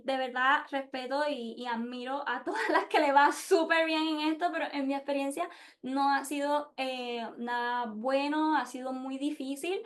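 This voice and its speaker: Woman w/ really high voice, casual reflective talk, candid, keeps hunting for words. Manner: candid, hesitant, searching for words. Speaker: podcast host and international relations researcher. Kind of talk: informal spoken presentation with personal reflections.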